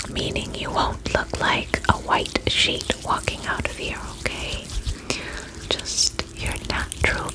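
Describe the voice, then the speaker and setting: A woman whispers breathily in an ASMR roleplay, playing a spa attendant. Her pace is extremely slow and drawn out. She soothes the listener with short, repeated reassurances. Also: low-quality recording.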